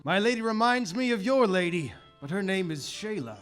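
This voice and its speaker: A man doing a loyal, easygoing island buddy who cheers his friend on, in a fairly high voice with lively swings of pitch. Faint music plays behind him on the live stage. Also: normal speed. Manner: encouraging, laid-back. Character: upbeat loyal male island sidekick. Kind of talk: live comedy radio play.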